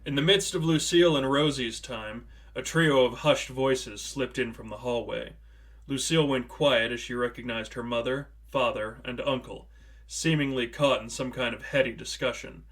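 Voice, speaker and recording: A man's voice: mid-range voice, fairly even intonation, moderate pace, fairly bright tone. A man reading a story aloud as narrator, in a scripted audiobook style.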